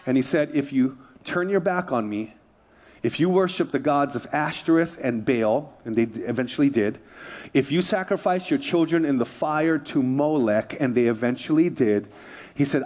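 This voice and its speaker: A man's voice: medium pitch, expressive, normal speed, a little mellow. A man from Hawaii preaching a sermon as a pastor, emphatic, repeating phrases and prompting his listeners. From Hawaii, United States.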